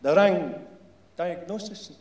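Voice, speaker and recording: A man acts out a shy shipyard electrician from a staged story. He is awkward and stammers over his words. The hall adds a clear echo. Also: high-pitched, slow pace, darkish tone, voice pushed up. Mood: awkward, hesitant. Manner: hesitant, stammering. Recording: echoey hall, live stage PA.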